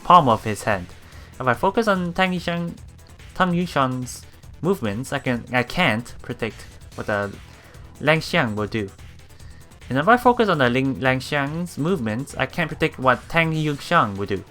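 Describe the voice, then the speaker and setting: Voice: medium pitch, sing-song, normal speed, fairly bright tone, faint music behind. A let's play streamer reading game text aloud with casual reactions, often stumbling over words and repeating them.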